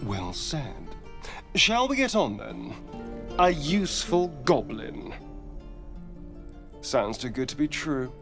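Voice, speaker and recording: A man's voice: medium pitch, big pitch swings, slow pace, vocal fry, long pauses, over music, heavily acted. A man playing a sardonic, vain elf rogue in a video game, with a wry, theatrical drawl. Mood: coolly appraising. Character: sardonic, vain male pale elf rogue with dry wit. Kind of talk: cinematic video game dialogue.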